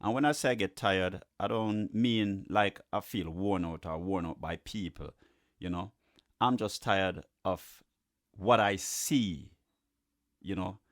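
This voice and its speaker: A man from Jamaica hosting a radio show, talking in a pensive, halting, unscripted way with frequent pauses. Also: deep voice, no room sound, studio quality. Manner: pensive, halting, pausing often. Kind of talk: reflective unscripted monologue between songs.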